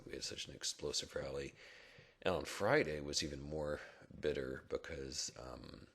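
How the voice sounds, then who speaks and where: A man with an extremely low voice, full of creak and still rough from early morning. He talks casually through market charts as a blogger would, pausing and reflecting as he thinks aloud. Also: fairly bright tone. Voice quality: rough, gravelly, husky. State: rough early-morning voice, not yet warmed up. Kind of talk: casual unscripted market commentary over charts.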